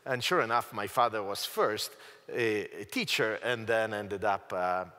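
A middle-aged man from Italy speaking on a conference panel with a headset mic, animated, with frequent fillers. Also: lowish voice, normal speed. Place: large conference stage. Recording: reverberant hall.

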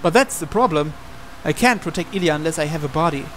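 A man's voice: a little high, expressive, fast, fairly bright tone, a little hiss or hum. A man reading a visual novel aloud as a game player, in dramatic storytelling narration.

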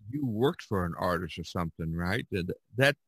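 Male podcast host, lowish bright voice, rambling casual talker, dry close sound. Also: expressive, normal speed, breathy edge, no room sound. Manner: rambling, repeats himself.

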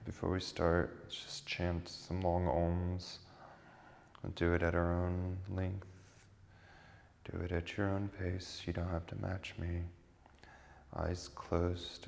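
Even-toned man guiding meditation, long reverb. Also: sits low, gravelly, a little hiss or hum. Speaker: yoga and meditation instructor. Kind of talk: guided meditation instruction. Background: music.

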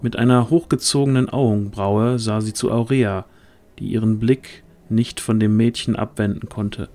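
A man's voice: on the low side, steady tone, normal speed, darkish tone. A man reading scripted narration for a fantasy audio drama.

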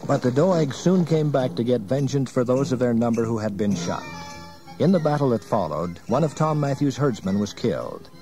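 A man's voice: mid-range voice, lively intonation, normal speed, over music. A man narrating a dramatized history from a script, as a storyteller.

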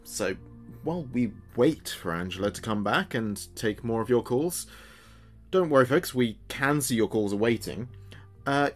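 A man plays a chatty late-night radio DJ in an audio drama. His mid-pitched voice swings in lively, theatrical turns, wry and playful, with music behind him. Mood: musing, chatty. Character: chatty, jokey male small-town late-night radio host. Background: background music.

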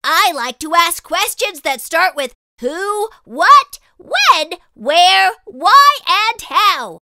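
A woman's voice: very high voice, very animated, extremely slow, noisy background, exaggerated voice. A woman voicing a small, curious, childlike spot creature who eagerly asks lots of questions in a children's storybook read-aloud.

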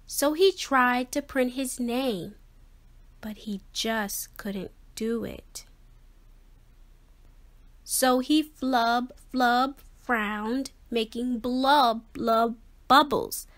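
A woman reading a children's picture book aloud, expressively and with a measured, rhyming rhythm. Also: a little high, fairly bright tone. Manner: measured, rhythmic delivery of rhyme. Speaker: children's story reader.

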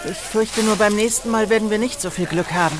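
A man's voice: sits high, a little fast, lightly acted. A man playing an earnest, determined young prince in a children's audio drama, speaking firmly. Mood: earnest, urgent.

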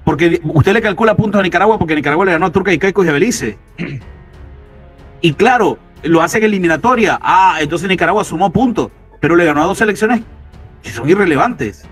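A man's voice: sits high, lively intonation, a little fast, breathy edge, faint music behind. A man debating soccer on a sports radio show, insistent and argumentative, firing rhetorical questions. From Central America.

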